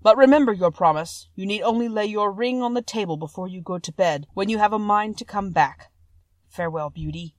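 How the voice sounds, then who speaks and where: A woman voicing a gentle-hearted male monster in a storybook, humble and mournful, with an emphatic, courtly, earnest manner. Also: medium pitch, brisk, no room sound, close to an everyday voice. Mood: earnest, sad. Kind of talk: storybook character voice.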